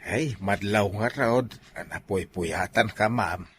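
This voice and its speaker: An actor with an Ilocano accent playing a polite, bargaining airport taxi driver in a comedy drama. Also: male voice, on the low side, slow, a bit bright, a little hiss or hum. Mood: chatty.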